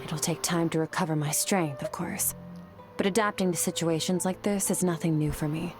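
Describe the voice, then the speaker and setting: A stoic young warrior woman from a fantasy game, acted by a woman, speaks over soft background music. Her voice sits fairly low, and she delivers everything with cool, terse detachment. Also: close to an everyday voice. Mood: cool, detached. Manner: cool, deadpan, measured, terse. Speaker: game character voice. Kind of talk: video game voice acting.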